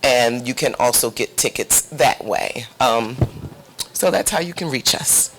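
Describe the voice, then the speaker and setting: A woman's voice: a bass voice, expressive, a little slow, fairly bright tone, a little hiss or hum, low-quality recording. A woman speaks as an interview guest on a panel. Her talk is heartfelt and casual, and she leans on repeated phrases to drive a point home. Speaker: playwright and producer, interview guest.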